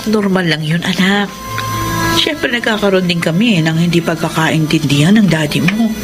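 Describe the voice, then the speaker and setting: A woman's voice: lowish voice, moderate pace, natural voice. An actress playing an anguished, devoted wife and mother in earnest, melodramatic Filipino radio drama style. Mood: reassuring, tender. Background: dramatic music.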